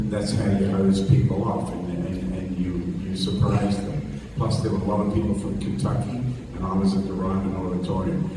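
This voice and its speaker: A man's voice: sits low, noisy background. A man teaching a guitar workshop in a casual, rambling way, repeating and restarting words as he talks and plays. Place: large echoey hall before an audience. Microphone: distant microphone. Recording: roomy hall sound from a distance. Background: guitar playing.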